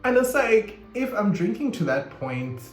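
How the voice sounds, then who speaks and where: A young man, chatting casually to camera in an animated way and breaking into sudden high squeals. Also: normal speed. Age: 20s. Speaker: vlogger. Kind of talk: casual confessional chat to camera. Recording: roomy echo, faint guitar music behind. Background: faint guitar music.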